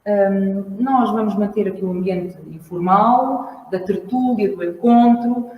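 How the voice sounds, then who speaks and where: A woman with a Lisbon Portuguese accent introducing a song at a live event, speaking in a measured, explanatory way and pausing to find her words. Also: mid-range voice, phone-quality sound, a little hiss or hum. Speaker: singer presenting a song. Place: large hall at a library event. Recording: echoey hall, mic at a distance.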